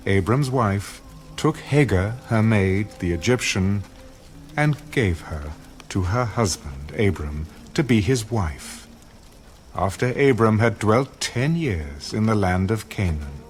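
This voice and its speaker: Male narrator for scripture drama; slow, solemn, expressive rise and fall. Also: fairly low pitch, slight background noise. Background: music.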